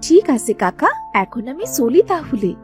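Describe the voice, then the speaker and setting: A woman's voice: sits high, sing-song, moderate pace, dark tone, soft background music. A woman voicing a responsible, caring village elder sister, fretful and motherly, in a cartoon. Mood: polite.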